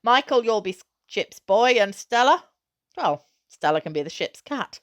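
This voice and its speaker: An eager storybook dad acted out by a woman reader, bursting with enthusiasm. The delivery is breathless and emphatic, lifted in pitch and loud with urgency. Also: big pitch swings, normal speed. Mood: breathless excitement. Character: excited, eager father with big sailing dreams. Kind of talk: acted dialogue in a children's novel reading.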